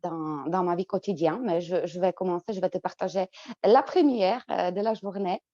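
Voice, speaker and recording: A woman with a Czech accent speaks in a slightly low voice, stopping often to restart her words. The sound is dry and a bit muffled, like a lo-fi call, with no room behind it. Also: moderate pace, warm tone, no echo. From Czech Republic. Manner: hesitant, many fillers and repeated words. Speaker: guest. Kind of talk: interview answers.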